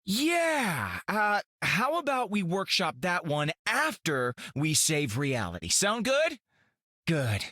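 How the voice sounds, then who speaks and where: A laid-back, easygoing space rogue. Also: male voice, sits high, wildly swooping pitch, slow, bright, forceful tone, no room sound, clean studio sound, heavily acted. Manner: easygoing, hesitant with fillers. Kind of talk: video game voice acting.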